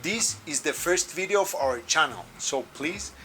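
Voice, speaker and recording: Bright-voiced Colombian man explaining carefully. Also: on the high side, animated, measured pace, a little hiss or hum. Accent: Colombian Spanish. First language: Spanish. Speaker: birding guide. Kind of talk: informal review to camera.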